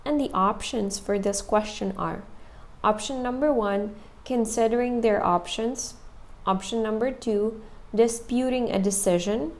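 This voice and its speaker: A woman's voice: on the high side, measured pace. A woman explaining listening test questions and answers, step by step and methodically. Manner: methodical, repeats options step by step. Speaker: English test prep teacher.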